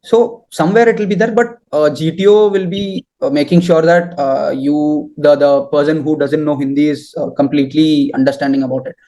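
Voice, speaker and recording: A young man answering interview questions and giving advice in halting, deliberate phrases. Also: on the high side, steady tone, mellow, low-quality recording. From southern India.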